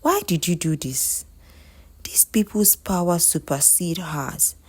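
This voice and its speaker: A Nigerian woman voicing a stern elderly grandmother spiritualist in a story, admonishing in a hushed, grave way. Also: lowish voice, slow, breathy edge, no room sound. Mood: grave warning.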